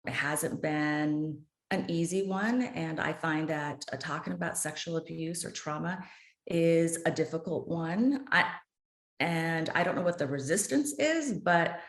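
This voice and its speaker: A woman's voice: low voice, unhurried, roomy sound. A woman in her fifties, a guest author on a podcast, speaking earnestly and with passion. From United States. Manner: earnest, impassioned, speaks with conviction. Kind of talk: podcast interview.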